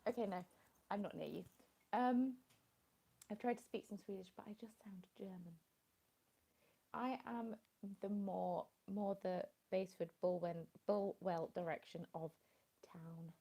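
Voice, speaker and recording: Woman, mellow gravelly voice, rambling live stream chat at home, phone mic. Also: medium pitch, fairly even intonation, measured pace. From England. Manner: rambling, chatty, greeting viewers by name. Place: at home in the evening, dim light. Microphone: phone with a small plug-in mic.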